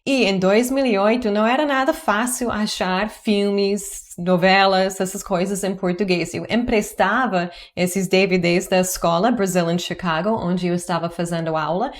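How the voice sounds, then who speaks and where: A woman in her forties, a native English speaker, talking in Portuguese in a chatty, earnest vlog with upbeat jumps in pitch. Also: steady tone, normal speed, hardly any pauses. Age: 40s. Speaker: YouTuber teaching languages. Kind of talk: personal vlog narration to camera.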